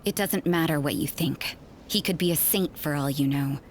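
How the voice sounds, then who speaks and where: A woman playing a wary, sardonic nun investigator in an anime fantasy video game, speaking in a cool, dry, deliberate way. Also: sits low, slight background noise, lightly acted. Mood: stern.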